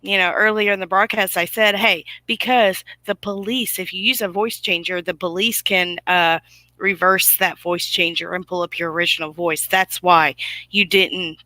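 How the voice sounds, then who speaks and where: A woman's voice: fairly low pitch, level tone, normal speed, pushed and bright, dry recording. A woman hosting an online broadcast in casual, opinionated chat, emphatic and fond of rhetorical questions.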